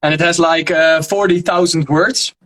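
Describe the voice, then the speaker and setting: A young Dutch man speaking English as a second language, a guest in a casual interview, often pausing to search for words. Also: a little high, steady tone, a bit bright. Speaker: guest, history video creator. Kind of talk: casual video-call interview.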